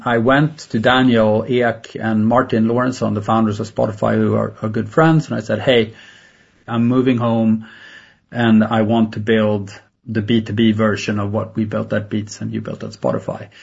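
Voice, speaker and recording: Gravelly, even-toned Swedish man. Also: lowish voice, moderate pace, lo-fi sound. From Sweden. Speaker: tech entrepreneur interviewed as a guest. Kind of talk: conversational interview answers.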